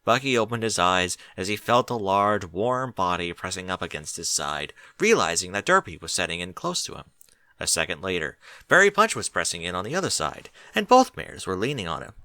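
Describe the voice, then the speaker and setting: Guy reading fiction aloud, expressive narrator, bright punchy voice, no room sound. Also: deep voice, brisk, no room sound. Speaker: audiobook narrator. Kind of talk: scripted story narration.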